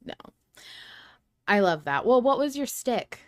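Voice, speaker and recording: A woman's voice: on the high side, very animated, unhurried, dry recording, studio quality. A woman hosting a podcast, talking through ideas in a casual, informal and emphatic way. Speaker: podcast host. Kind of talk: casual fan discussion podcast.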